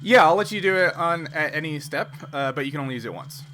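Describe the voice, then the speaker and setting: A man acting as game master in a tabletop role-playing game, explaining rules and improvising in a halting way. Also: a little high, expressive, sharp tone. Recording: clear background noise with ambience.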